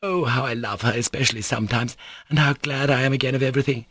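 A man's voice: mid-range voice, fairly even intonation, fast talker, fairly bright tone, husky, no room sound, studio quality, pitched above the performer's own voice. A man voicing a gushing, flighty middle-aged society mother who exclaims and repeats herself in an audiobook story. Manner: effusive, repetitive, exclaiming.

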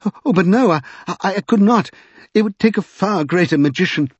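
A timid, deferential storybook gentleman, performed by a male reader. He speaks hesitantly and courteously in a raised, slightly husky voice. The recording is somewhat dull. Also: mid-range voice, animated, moderate pace, no room sound, voice pushed up. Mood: horrified, helpless. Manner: hesitant, courteous old-fashioned speech.

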